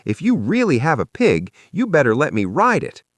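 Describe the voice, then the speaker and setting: A man voicing a loud, excitable young schoolboy, an eager and slightly dim best friend who exclaims his lines in a children's audiobook. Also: on the high side, normal speed, no echo, voice pushed up. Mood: baffled, excited.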